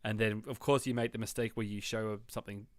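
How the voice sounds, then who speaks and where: A man's voice: fairly low pitch, fairly even intonation, fast talker, no echo. An Australian man, around his thirties or forties, co-hosting a podcast in casual chat, telling things in a wry, rambling way. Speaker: podcast co-host.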